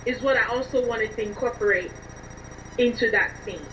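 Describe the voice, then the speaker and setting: Lady guest answering interview qs, expressive, bit high pitched, muffled audio w faint noise. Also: normal speed. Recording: distant, dull, noisy remote connection.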